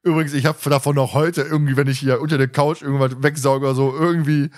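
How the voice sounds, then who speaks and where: A man's voice: fairly high pitch, level tone, quick pace. Chatty male podcast host. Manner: chatty, often chuckles while telling anecdotes. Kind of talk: casual podcast chat.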